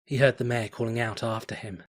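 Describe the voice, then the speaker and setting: A man reading a fanfiction story aloud in a measured, dramatic storytelling manner. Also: medium pitch, steady tone. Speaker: fanfiction reader.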